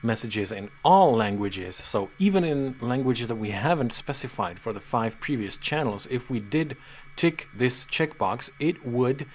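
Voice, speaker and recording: Patient man explaining software. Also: mid-range voice, lively intonation, measured pace, slight background noise. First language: Czech. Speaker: software tutorial presenter. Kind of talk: screen-reader software walkthrough.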